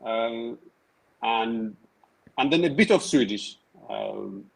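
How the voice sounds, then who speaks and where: A man in his thirties who grew up in Tigray and speaks Tigrinya as his first language. He talks as an interview guest in a measured, hesitant way, with many fillers and restarts. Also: mid-range voice, lively intonation, gravelly, rough recording. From Tigray, Ethiopia. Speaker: guest, writer and PhD researcher. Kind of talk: casual interview conversation.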